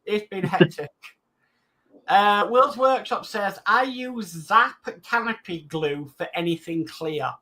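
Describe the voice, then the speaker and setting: A man's voice: high voice, slow pace, sharp tone. A man co-hosting a live stream, reading out viewer comments and chatting about them casually. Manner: reads chat comments aloud, then chats loosely. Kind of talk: casual chat.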